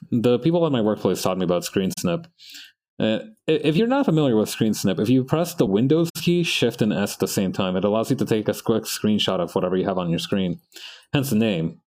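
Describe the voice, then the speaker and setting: A man's voice: fairly low pitch, darkish tone. A young man whose first language is Spanish, chatting in a rambling, casual way while he draws on stream, often breaking off mid-sentence and laughing. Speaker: artist streaming practice.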